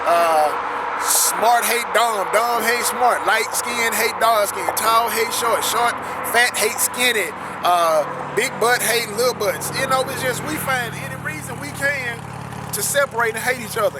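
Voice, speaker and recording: A man reading a text aloud on a riverbank and commenting on it, earnestly repeating key phrases for emphasis. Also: high-pitched. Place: outdoors on a riverbank. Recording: loud outdoor noise with passing traffic.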